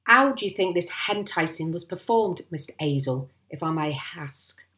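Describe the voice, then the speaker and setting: A woman's voice: fairly low pitch, animated, normal speed, fairly bright tone, echoey room. Woman playing a pompous, ponderous village police sergeant. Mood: officious, probing. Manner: ponderous, misplaced aitches, ungrammatical officialese.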